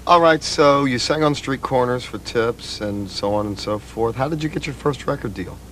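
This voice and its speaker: A man interviewing musicians, hesitant and halting with many fillers. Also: medium pitch, fairly bright tone, a little hiss or hum.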